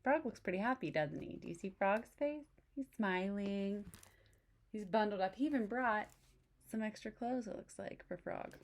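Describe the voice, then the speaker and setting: A woman reading a picture book aloud expressively, pausing to explain things and check in with young listeners. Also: mid-range voice, lively intonation. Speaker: read-aloud storyteller.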